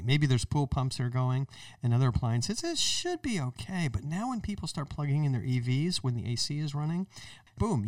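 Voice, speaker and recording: A man's voice: medium pitch, no room sound, far from the mic. An American man hosting an explanatory talk show in an emphatic, conversational way. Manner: emphatic, conversational, acts out imagined remarks. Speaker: podcast host. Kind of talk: explanatory radio-style talk.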